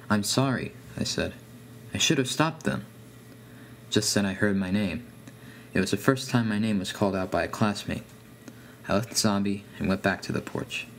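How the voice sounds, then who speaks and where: A man's voice: fairly low pitch, slight background noise, rough recording. A man reading a scripted first-person horror story as its narrator.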